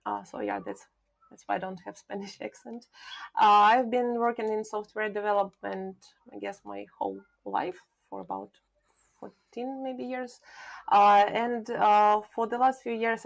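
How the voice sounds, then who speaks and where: A woman speaks as a guest on a podcast, explaining her work in a measured, thoughtful way. Her voice carries a noticeable vocal fry, and she often pauses with filler sounds while searching for words. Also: mid-range voice, animated. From Ukraine. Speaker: guest, product manager. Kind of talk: podcast interview.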